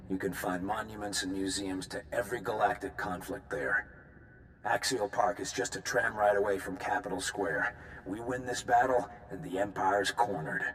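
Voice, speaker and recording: Low-voiced brusque captain. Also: male voice, a bit bright, slight background noise, poor audio. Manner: matter-of-fact, dry briefing. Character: brusque, skeptical male military captain. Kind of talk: video game voice acting. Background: game music.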